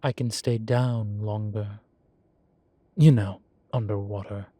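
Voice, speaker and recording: A man playing a composed, teasing demon professor in a roleplay audio, speaking in a formal, measured and wry way. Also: on the low side, fairly even intonation, warm tone, no echo. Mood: playful explaining. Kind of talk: scripted roleplay audio, one side of a conversation.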